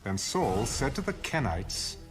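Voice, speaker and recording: A man narrating a dramatised audio Bible in a measured, expressive storytelling manner. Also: medium pitch. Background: music bed.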